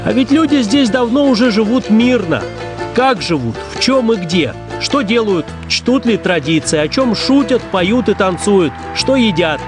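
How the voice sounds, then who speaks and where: A man's voice: high voice, expressive, a little slow. A man presenting a travel documentary as reporter, reading scripted narration in a storytelling way. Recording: music bed under the voice. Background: music.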